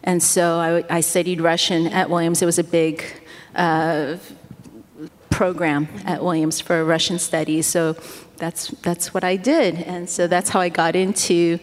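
A woman's voice: fairly low pitch, level tone, a little slow. A middle-aged woman born in the United States, whose first language is Korean, talking as a guest in an interview, rambling and self-deprecating with many fillers. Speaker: guest speaker. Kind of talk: casual interview talk. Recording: long hall reverb.

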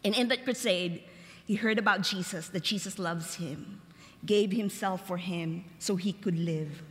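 A young woman from the Philippines, giving a personal testimony as a chapel speaker, chatty and slowing down for emphasis. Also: on the low side. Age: young adult. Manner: conversational, laughs easily, slows for emphasis. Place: large chapel. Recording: long hall reverb.

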